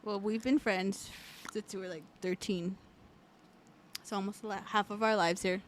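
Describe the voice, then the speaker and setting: A woman's voice: mid-range voice, fairly even intonation, moderate pace, slight background noise. A woman in her late twenties hosting a podcast, teasing and swearing freely in casual chat. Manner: loud, teasing, profane. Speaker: podcast host.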